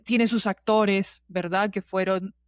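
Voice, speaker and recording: A woman's voice: medium pitch, fairly even intonation, takes it slowly, no room sound, studio quality. A woman giving talkative, digressive interview answers as a podcast guest, with emphatic repetition. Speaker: podcast guest, scriptwriter and producer.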